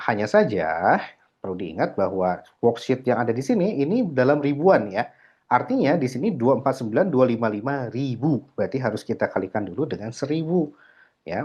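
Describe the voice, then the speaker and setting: Husky man lecturing. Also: medium pitch, darkish tone. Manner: explanatory, filler "nah" and "ya", code-switching English terms. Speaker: accounting lecturer. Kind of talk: screen-recorded lecture walkthrough. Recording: dull lo-fi mic.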